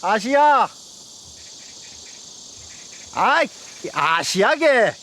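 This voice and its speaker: A man's voice: extremely high pitch, big pitch swings, very slow, pushed and bright, a little hiss or hum, heavily acted. An actor playing an old village man, talking to his neighbor in a thick Jeju accent in a short dramatized scene. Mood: calling out. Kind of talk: dialect drama reenactment.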